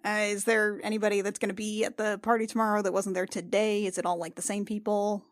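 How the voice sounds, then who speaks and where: Young female healer for tabletop roleplay, played by a woman: frank, casual, halting, teasing, mid-pitched, dry sound. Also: flat delivery, no echo, close to an everyday voice. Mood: curious, indignant. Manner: casual, halting, swears freely. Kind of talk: improvised in-character tabletop roleplay dialogue.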